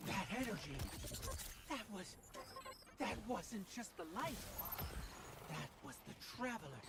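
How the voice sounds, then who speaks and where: A man's voice: high-pitched, big pitch swings, unhurried, creaky, very noisy, heavily acted. A man playing a small, devoted robotic companion in a video game, speaking with earnest hope. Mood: amazed. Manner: earnest, solemn encouragement. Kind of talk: dramatic video game dialogue.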